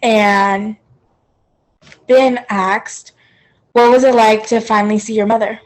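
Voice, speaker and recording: A woman moderating a library author talk, reading out audience questions with many hesitant pauses. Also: medium pitch, steady tone, poor audio.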